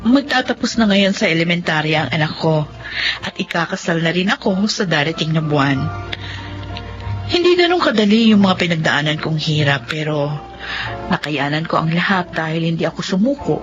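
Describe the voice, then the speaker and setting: A woman's voice: sits low, expressive, moderate pace. A woman acting an earnest young single mother and devoted daughter in a Filipino radio drama, with heartfelt, emotional delivery. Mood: resolute, reflective. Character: earnest, apologetic grown daughter, self-sacrificing single mother. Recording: dull lo-fi radio recording with music.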